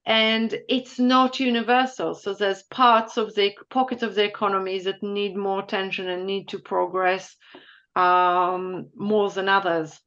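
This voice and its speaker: A woman's voice: mid-range voice, flat delivery, unhurried, fairly bright tone, highs cut off. A woman economist thoughtfully answering questions in an online presentation.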